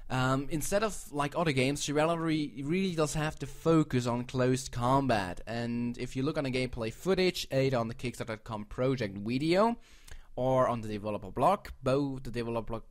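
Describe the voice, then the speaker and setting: A man from Switzerland with a Swiss accent, speaking English as a non-native host in a casual, rambling, playful commentary, often correcting himself. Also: mid-range voice. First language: a language other than English. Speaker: host of a video channel. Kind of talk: casual commentary on game crowdfunding projects.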